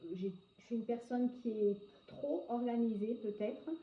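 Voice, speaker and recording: A woman in her forties from northern France, a hairdresser answering interview questions in a hesitant way, often pausing on filler sounds. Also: on the high side. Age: 40s. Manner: hesitant, frequent filler pauses. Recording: echoey, dull lo-fi sound with faint noise.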